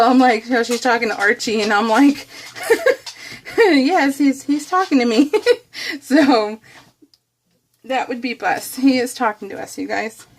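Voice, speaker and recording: American lady vlogging, kinda high voice, super chatty n giggly, rambles and makes fun of herself. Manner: giggly, chatty, often laughing through her words; self-deprecating, wanders off topic. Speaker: craft vlogger. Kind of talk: casual, rambling chat to viewers.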